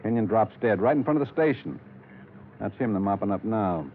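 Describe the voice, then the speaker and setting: An actor playing a tough, weary middle-aged small-town police lieutenant in a 1950s radio detective drama, gruff and commanding with clipped questions. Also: male voice, fairly low pitch, animated, fairly quick, slight background noise, lightly acted. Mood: grim. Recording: 1950s radio broadcast, thin phone-like band.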